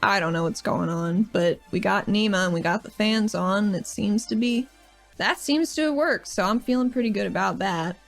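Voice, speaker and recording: A woman's voice: medium pitch, moderate pace, vocal fry, soft background music. A woman playing her character in a tabletop game, speaking in a casual, rambling way as she recaps events. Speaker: player.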